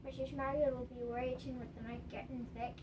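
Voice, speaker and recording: A woman's voice: sits high, flat delivery, a little fast, natural voice. A woman playing a plain-spoken, protective male hobbit farmer with rustic phrasing in an amateur radio play. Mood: friendly, folksy. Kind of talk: amateur radio theater acting. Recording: distant mic, clearly noisy home recording.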